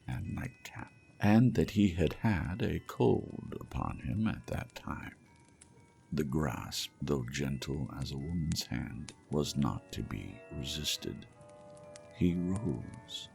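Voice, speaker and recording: A man reading a classic story aloud as audiobook narrator, in a slow, measured, soothing manner. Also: very deep voice, vocal fry, a little mellow. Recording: faint music behind.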